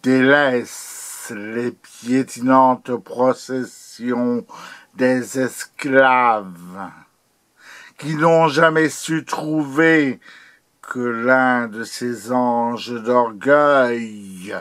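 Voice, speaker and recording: Very slow, solemn man reciting poetry. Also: medium pitch, fairly bright tone. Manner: solemn, declamatory, long pauses between short phrases. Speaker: poet reading own poem. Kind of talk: dramatic poetry recitation over music. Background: music.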